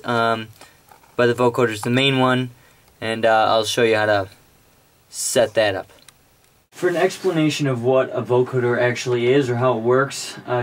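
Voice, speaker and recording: A man presenting an informal music gear tutorial, explaining in an offhand way as he thinks aloud. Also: medium pitch.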